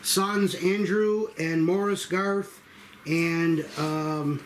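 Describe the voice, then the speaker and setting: Man chatting over clear room noise. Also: on the high side, steady tone, drawn-out words. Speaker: table-read cast member. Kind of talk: casual group chat. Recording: noisy room background.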